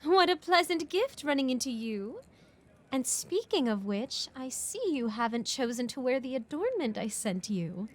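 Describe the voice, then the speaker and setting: A woman's voice: sits high, sing-song, moderate pace. A woman playing a scheming noblewoman in a fantasy romance audio drama, formal and pointedly polite. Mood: falsely gracious. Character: haughty, scheming noblewoman duchess, politely slighted.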